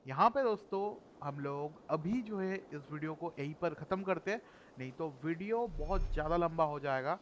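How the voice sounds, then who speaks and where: A man's voice: on the high side, rapid-fire, lo-fi sound, a little hiss or hum. A man hosting a gameplay video with casual, colloquial commentary, talking to viewers as friends.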